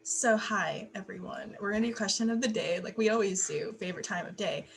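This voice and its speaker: A woman's voice: mid-range voice, brisk, creaky. A young adult woman co-hosting a casual podcast over a video call, chatty and rambling with lots of fillers. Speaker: podcast co-host. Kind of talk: casual chat.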